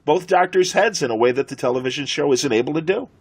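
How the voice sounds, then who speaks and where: A middle-aged man with an American accent and a husky voice, chatting conversationally as a podcast host. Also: a little high, expressive, fast. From United States.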